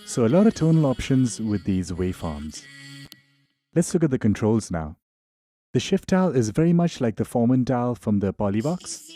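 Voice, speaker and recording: Casting: male tutorial instructor, very soft dark tone, lively intonation, informal and explanatory. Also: medium pitch, normal speed, soft background music. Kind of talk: tutorial narration.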